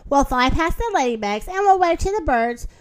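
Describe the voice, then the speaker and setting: A woman's voice: high voice, expressive, moderate pace. A woman reading as a playful rhyming cat. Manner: bouncy rhyming delivery. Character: playful cat in a hat, male.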